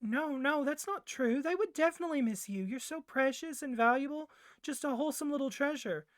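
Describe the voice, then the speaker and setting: A woman voicing a doting, clingy young yandere boyfriend kidnapper in a soft ASMR roleplay, gushing and coaxing, with flustered hesitations. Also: sits high, fairly quick, no room sound, natural voice. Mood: insistent, adoring.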